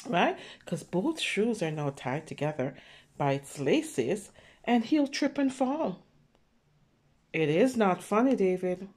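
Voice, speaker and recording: A woman's voice: mid-range voice, big pitch swings, takes it slowly, a little mellow. A woman reading a picture book aloud to young children, explaining simply and checking in with questions. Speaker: children's read-aloud storyteller.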